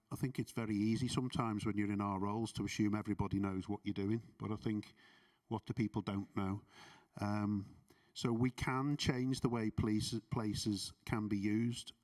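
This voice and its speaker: Earnest Scouse man, a little low. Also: darkish tone, recorded from a distance. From Liverpool, England. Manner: earnest, filler-heavy, self-deprecating. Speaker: conference speaker. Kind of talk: reflective closing remarks. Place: conference hall.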